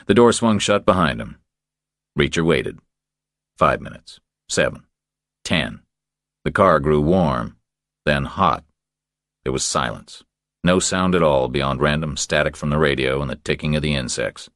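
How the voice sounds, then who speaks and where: A man's voice: a bass voice, a little slow, long pauses, a bit bright, no room sound, clean studio sound. A man reading a scripted thriller narration as an audiobook narrator.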